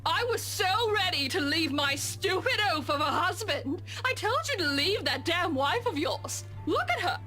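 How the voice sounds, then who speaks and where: A woman's voice: very high-pitched, expressive, unhurried, hardly any pauses, faint music behind, heavily acted. Woman as a screaming drunk pregnant woman. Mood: drunk rage. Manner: shouting, cursing, slurred. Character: drunk furious pregnant woman, loud and crude. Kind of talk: game voice acting.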